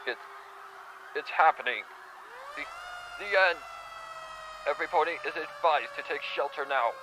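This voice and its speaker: A man's voice: on the high side, normal speed, close to an everyday voice. Man playing anxious pony news anchor, noisy broadcast. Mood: shaken, fearful. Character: anxious male pony television news anchor trying for calm. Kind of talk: news broadcast acting in fan audio drama. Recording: noisy staticky TV broadcast with sirens.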